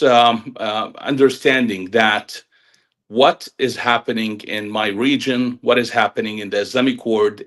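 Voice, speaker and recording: A man with an Arabic accent, a native Arabic speaker, talking as a guest on a podcast. His voice sits at an ordinary pitch for a man, and he speaks earnestly and humbly, with frequent hesitations. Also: a bit bright. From Jordan. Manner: earnest and humble, halting with many ums. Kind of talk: casual interview talk.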